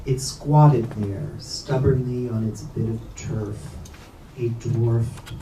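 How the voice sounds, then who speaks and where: A man reading literary prose aloud at a staged book reading, with deliberate, measured delivery. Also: mid-range voice, steady tone, dark tone. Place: bookstore event space. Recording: echoey room, distant mic, background noise.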